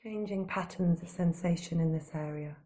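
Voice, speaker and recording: A woman guiding a body scan meditation in a calm, gentle, unhurried manner, giving slow soothing instructions with long pauses. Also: fairly low pitch. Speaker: meditation guide.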